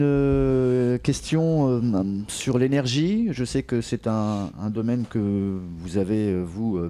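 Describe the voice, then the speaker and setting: A man's voice: mid-range voice, dark tone. A man from France hosting a political show and putting questions to his guest. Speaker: political show host, interviewer.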